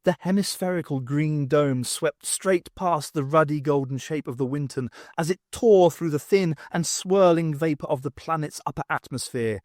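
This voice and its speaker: A man's voice: on the high side, normal speed, hardly any pauses, a little mellow, dry recording, studio quality. A man reading scripted fiction as an audiobook narrator, with a dramatic delivery. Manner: dramatic reading with acted dialogue. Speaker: audiobook reader.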